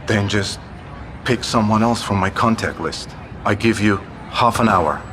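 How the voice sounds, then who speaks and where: An actor playing a powerful, aloof billionaire in an audio drama, curt and commanding. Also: male voice, on the low side, measured pace, noisy background, natural voice. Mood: cold, impatient.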